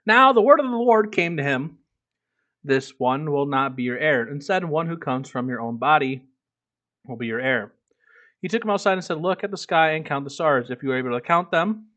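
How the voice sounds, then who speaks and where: A man's voice: a little high, expressive, normal speed, bright, forceful tone. A man reading a book aloud as a streamer, breaking off into casual, irreverent, swearing commentary. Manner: irreverent, profane asides.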